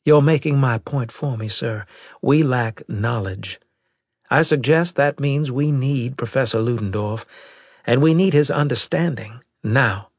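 A man's voice: mid-range voice, unhurried, husky, dry recording, studio quality, pitched above the performer's own voice. A man voicing an earnest, loyal female military brigadier in an audiobook, worried but resolute, with formal, emphatic speech. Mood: earnest, urgent. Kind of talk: audiobook character dialogue.

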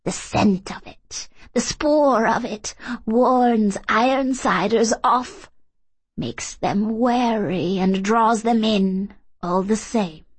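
A woman voicing a solemn old fairy witch from a storybook, speaking slowly in formal, cryptic, archaic phrases. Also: on the high side, breathy edge, dry recording.

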